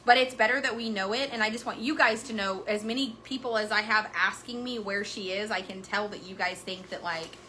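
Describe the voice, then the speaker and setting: Host in her 30s, frank and animated, bright voice, slightly high, faint room noise. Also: a little fast, few pauses, rough recording. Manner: frank, animated, swears casually. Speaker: horse business owner and channel host. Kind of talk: casual chat to viewers. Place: wood-paneled indoor room.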